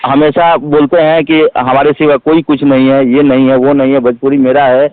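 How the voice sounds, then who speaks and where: A man's voice: mid-range voice, little pitch movement, dry recording. Guy on a phone call interview, dry tinny line, keeps stumbling and repeating himself to make his point. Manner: halting, repetitive, emphatic. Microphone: telephone.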